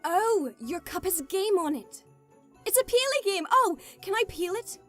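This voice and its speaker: A woman plays a scatterbrained, cheerful sidekick in a radio drama. She sounds childlike and quirky, with a high, very lively voice and soft music underneath. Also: moderate pace. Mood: delighted. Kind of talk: audio drama acting.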